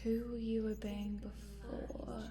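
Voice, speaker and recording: A woman playing a cute but sinister scientist in an ASMR roleplay, coaxing in a soft, breathy whisper. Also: medium pitch, level tone, slow pace, heavy background noise, natural voice. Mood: busy, cheerful. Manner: hushed, coaxing, murmuring, giggly asides. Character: cute sinister lady scientist, chatty, coaxing, quietly gleeful.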